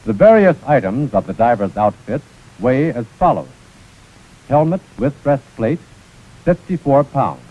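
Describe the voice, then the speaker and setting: A man's voice: mid-range voice, sing-song, measured pace. An American man narrating a scripted 1940s instructional film in a precise, formal manner. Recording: muffled, scratchy 1940s film soundtrack.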